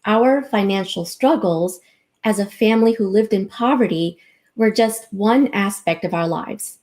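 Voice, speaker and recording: This is a woman in her forties who was born to a Vietnamese-speaking family, and she delivers a prepared personal talk. Her voice is soft and mellow, and she speaks at an ordinary middle pitch. Her delivery is earnest and unhurried throughout. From United States. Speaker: researcher and advocate.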